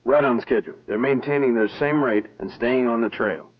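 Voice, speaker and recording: An actor playing an army officer at a sensor monitoring post, using crisp radio-procedure talk. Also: male voice, medium pitch, brisk, lightly acted. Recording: dull lo-fi 1960s film soundtrack with faint hiss.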